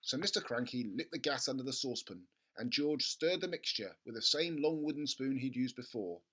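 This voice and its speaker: Storybook narrator, expressive, bright, animated pitch, medium register. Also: a little fast. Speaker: storybook reader. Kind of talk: expressive children's book narration.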